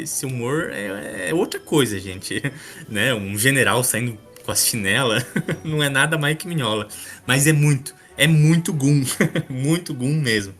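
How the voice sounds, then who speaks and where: A man's voice: medium pitch, fairly bright tone. A man with a Brazilian accent hosting a comics podcast, chatting casually and colloquially with amusement. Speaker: podcast host.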